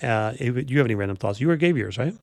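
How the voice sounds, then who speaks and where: A man's voice: medium pitch, expressive, racing, vocal fry, darkish tone, no room sound, clean studio sound. A man hosting a podcast, chatting casually in an informal, rambling storytelling way. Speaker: podcast host. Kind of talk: casual unscripted podcast chat.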